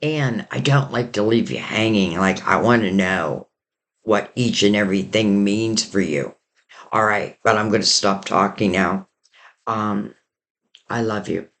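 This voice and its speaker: A man giving a rambling, casual tarot reading while losing his voice and sounding hoarse. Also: on the low side, unhurried, a bit bright. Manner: rambling, loose and intuitive, many "like" and "you know". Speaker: tarot reader.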